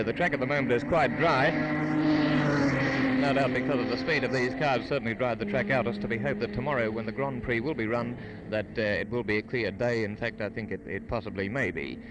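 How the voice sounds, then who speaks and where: A man's voice: medium pitch, fast talker. Aussie guy doing old 1950s radio racing commentary, formal but umming, lots of car noise behind him. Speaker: radio sports broadcaster. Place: outdoors trackside at a motor racing circuit. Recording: noisy 1958 outdoor radio broadcast. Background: racing cars and engine noise.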